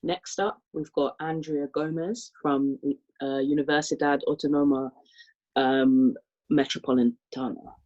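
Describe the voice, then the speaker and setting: Chill host intro-ing the next talk, mellow voice, some ums. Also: mid-range voice, steady tone, a little slow, muffled recording. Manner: casual, with filler words while reading out titles. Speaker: panel chair. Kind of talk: introducing speakers.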